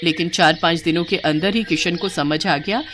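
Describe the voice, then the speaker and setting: A woman's voice: lowish voice, fairly even intonation, moderate pace, a bit bright, a little hiss or hum. A woman reading scripted narration for a moral story as its storyteller.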